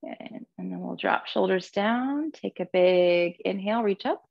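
A woman teaching a guided yoga class in a soothing, gentle, unhurried manner, cueing breath and movement. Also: mid-range voice, creaky, no room sound, lo-fi sound.

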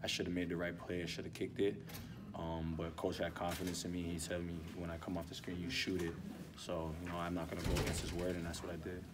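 Deep, flat-voiced young basketball player. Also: male voice, quick pace. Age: 20s. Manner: soft-spoken, hesitant, many ums. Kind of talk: postgame press interview. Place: indoor arena hallway with block walls. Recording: distant mic, background noise.

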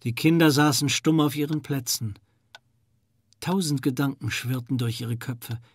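An actor playing the solemn narrator of a children's mystery audio drama. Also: male voice, mid-range voice, unhurried, slightly rough, dry recording. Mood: hushed, solemn.

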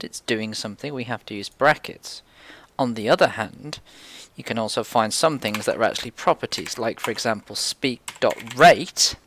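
A man's voice: mid-range voice, a bit bright, slight background noise. A man presenting a casual screencast coding tutorial, informally thinking aloud as he works.

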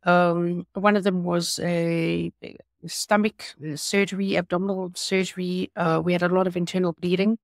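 A middle-aged woman with a South African accent, telling her story as an interview guest over a video call, with many hesitant pauses. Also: lowish voice, flat delivery, dry recording, studio quality. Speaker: guest, patient advocate. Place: home room.

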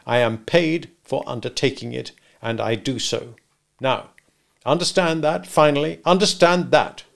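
A man's voice: mid-range voice, a little slow, creaky, fairly bright tone. A man reading the part of a stern, domineering lawyer, speaking in a clipped, authoritative way. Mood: commanding. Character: stern, domineering male lawyer, brisk and commanding.

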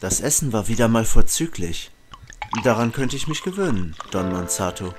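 A sinister, insolent killer acted by a man as the lead of an audio drama. His voice is fairly low, with a dramatic, cynical delivery over faint music. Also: lively intonation, moderate pace, close to an everyday voice. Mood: polite. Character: sinister young male killer, smooth-talking, insolent narrator-protagonist. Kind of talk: audio drama dialogue and first-person narration.

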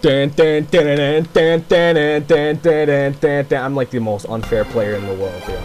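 A man's voice: fairly high pitch, steady tone, unhurried, darkish tone, soft background music. A man giving rambling, profane, casual commentary while he plays a video game. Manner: rambling, profane, laughs often. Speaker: gameplay commentator. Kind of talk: casual off-the-cuff gameplay commentary.

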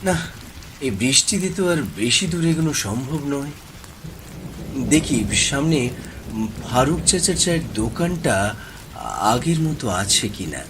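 A man's voice: medium pitch, expressive, unhurried, long pauses, noisy background, natural voice. A man voicing a weary, regretful villager just out of prison in a horror cartoon, sighing and speaking respectfully. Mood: pondering.

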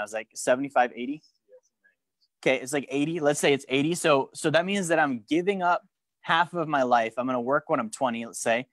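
A man's voice: medium pitch, no room sound. A young man teaching a live finance course in a studio, talking animatedly and often stopping to ask the room questions. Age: young adult. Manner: animated, gestures at a screen while teaching; frequent restarts and questions to the room. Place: studio with a branded backdrop and a large screen.

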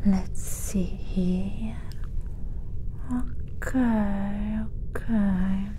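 A woman whispers an ASMR roleplay at a very unhurried pace. Her voice is mellow and dark, mostly breath with soft murmured hums. A faint ambient hum sits beneath her. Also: medium pitch, steady tone. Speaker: ASMR creator. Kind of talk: ASMR roleplay of an alien medical inspection.

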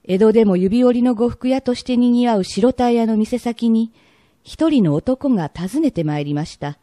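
Woman narrator, played by an actress, period radio drama, polite storytelling, mellow tone, dry sound. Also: fairly high pitch, lively intonation, dry recording, close to an everyday voice. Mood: measured, polite. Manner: polite, measured storyteller phrasing. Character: polite narrator of an old Edo tale.

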